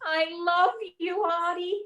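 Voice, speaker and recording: A woman's voice: very high voice, steady tone, slow, fairly bright tone, heavily acted. A woman playing a brassy, slangy, lovesick young woman in a vintage-style radio play. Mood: pleading, adoring.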